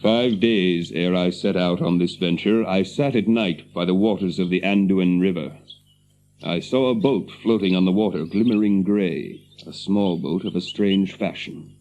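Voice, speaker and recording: An actor playing a grave, noble captain and grieving brother in a fantasy radio drama, with stately, measured, archaic speech. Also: male voice, low voice, warm tone, slight background noise. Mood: sorrowful, solemn. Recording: dull lo-fi audio with birdsong behind.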